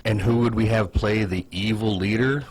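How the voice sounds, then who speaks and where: A man hosting a casual comedic commentary video, pitching ideas in a wry, sarcastic, opinionated way. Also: moderate pace, breathy edge, poor audio.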